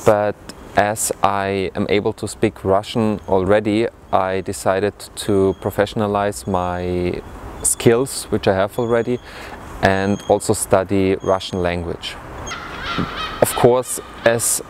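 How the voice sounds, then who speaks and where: A young man with an Austrian accent talks through his studies in a lowish voice, stopping now and then to search for the right word. He is outdoors in a city courtyard on a clip-on mic, with faint traffic noise behind him. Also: darkish tone. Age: young adult. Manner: thoughtful, pausing to find words. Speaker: international student. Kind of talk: testimonial to camera. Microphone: lapel mic.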